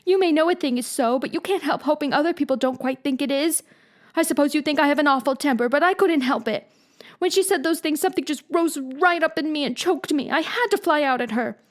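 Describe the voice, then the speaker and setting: Woman reader doing a fiery orphan girl: high, impassioned, pleading. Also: a little fast, close to an everyday voice. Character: fiery, imaginative, dramatic young orphan girl.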